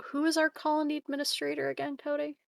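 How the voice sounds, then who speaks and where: A woman's voice: high voice, completely flat delivery, fast, vocal fry, no room sound, studio quality. A woman chatting casually as a player in a tabletop roleplaying game, partly in character.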